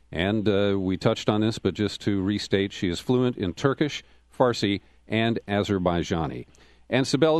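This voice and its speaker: A man hosting a political radio interview, talking in a measured way and reading out web addresses slowly. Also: lowish voice, a little mellow, no echo. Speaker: radio talk show host.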